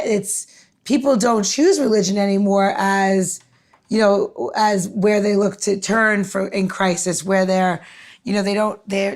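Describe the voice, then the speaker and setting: A woman of about forty with a mid-pitched voice co-hosts a podcast. She talks informally and eagerly, wandering through her thought as she works toward a question. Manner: rambling, informal, enthusiastic. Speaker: podcast co-host. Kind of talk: casual chat.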